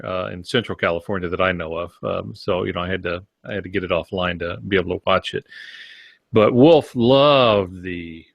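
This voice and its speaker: Older American man, fairly low voice. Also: fairly bright tone, no room sound. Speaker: podcast co-host. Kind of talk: conversational writing advice.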